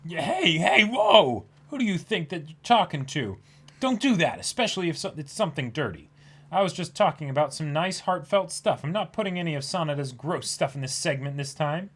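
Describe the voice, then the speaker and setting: A man's voice: on the high side, big pitch swings, a little fast, fairly bright tone, husky. A man co-hosting a manga reading stream, mixing casual chat with playful read-aloud.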